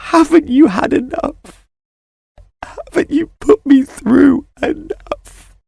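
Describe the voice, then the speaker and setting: A man's voice: very high-pitched, slow, gravelly, mellow, no room sound, exaggerated voice. An actor playing a bitter, tormented cartoon man in a horror game, with a theatrical, volatile, foul-mouthed delivery. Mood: desperate pleading. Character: tormented cartoon man, bitter, taunting, vengeful, then desperate. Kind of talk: dramatic visual novel game voice acting.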